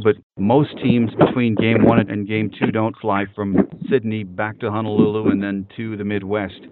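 A man hosting a sports podcast, asking questions and commenting in a conversational way. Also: on the low side. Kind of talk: conversational interviewing. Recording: very noisy, narrow phone-like sound, clicks.

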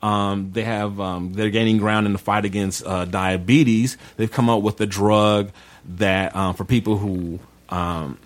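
Low voiced guy on a talk show going through the news, kinda halting, repeats himself. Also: steady tone. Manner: halting, repeats words while explaining. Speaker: show co-host. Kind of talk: casual panel talk, reading and explaining news.

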